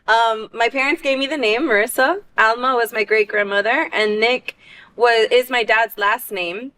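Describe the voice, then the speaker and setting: A young adult woman from Miami, answering interview questions in a relaxed, slightly hesitant way. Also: on the high side, normal speed, smooth. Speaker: guest author.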